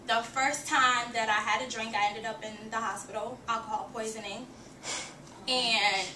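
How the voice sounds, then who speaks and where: A young woman from Haiti speaks in a slightly high voice, presenting a prepared personal introduction to her class. Her tone is earnest and self-reflective. The recording comes from a camera across an echoey classroom, with steady background noise. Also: recorded from a distance. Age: young adult. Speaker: student giving a class speech. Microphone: far camera.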